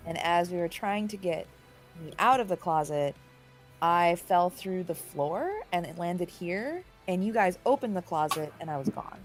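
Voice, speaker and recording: A woman's voice: on the low side, expressive, normal speed, soft background music. A woman chatting casually as a player in a tabletop role-playing game.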